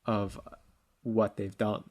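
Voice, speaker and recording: A man's voice: on the low side, level tone, takes it slowly, darkish tone. A man co-hosting a talk show, discussing issues casually in colloquial language and voicing imagined quotes.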